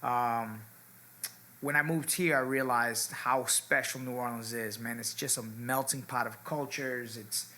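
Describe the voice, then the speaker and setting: A young man from Ecuador telling his story as a podcast guest, in a relaxed, laid-back way with many fillers. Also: medium pitch, a little slow. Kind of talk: casual interview chat.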